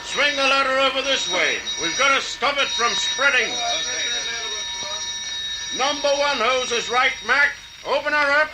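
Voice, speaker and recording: A man's voice: extremely high pitch, measured pace, pauses often. A man playing a practical, plain-spoken fire officer in an old radio drama. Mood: urgent. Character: practical, commanding male district fire officer. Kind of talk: 1940s radio drama acting. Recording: vintage radio, loud sound-effect noise behind.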